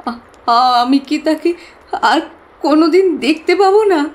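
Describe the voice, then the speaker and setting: An actress playing an earnest, devoted young woman client in an audio drama, sorrowful and halting in delivery. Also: high voice, lively intonation. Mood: pleading, sorrowful. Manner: hesitant, pleading, halting.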